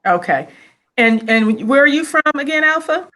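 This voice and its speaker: Woman, talk host, live online chat, upbeat, slightly high voice. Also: expressive, normal speed, sharp tone. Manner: upbeat, greets viewers by name.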